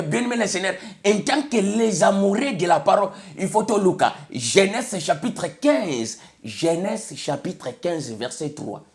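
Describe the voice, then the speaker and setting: A man from Congo with a Congolese French accent, preaching an emphatic sermon in which he keeps repeating a set phrase. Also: high-pitched. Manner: emphatic, repeats "bien aimé le Seigneur", switches between French and Lingala.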